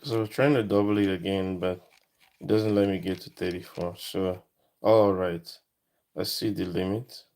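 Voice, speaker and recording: A man giving a casual, unscripted electronics demonstration, thinking aloud in short, halting phrases. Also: low-pitched, steady tone, darkish tone. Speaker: electronics hobbyist presenter.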